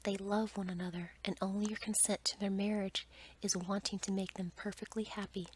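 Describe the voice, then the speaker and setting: A woman voicing a stately, kindly fairy in a breathy whisper for a bedtime storybook tale. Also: mid-range voice, flat delivery, fairly quick, a little mellow, a little hiss or hum, lightly acted. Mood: gracious.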